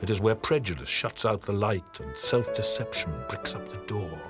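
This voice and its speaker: An actor playing a grave, wise mentor in an audio drama, speaking in a measured, moralizing way. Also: male voice, on the low side, lively intonation, loud music behind the voice, close to an everyday voice. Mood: solemn. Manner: solemn, measured, sententious.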